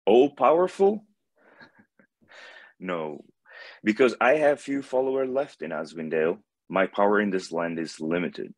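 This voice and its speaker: A man playing a solemn, benevolent god of light with a grave, measured delivery in an animated fantasy table read. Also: fairly low pitch, no room sound. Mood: incredulous, grave.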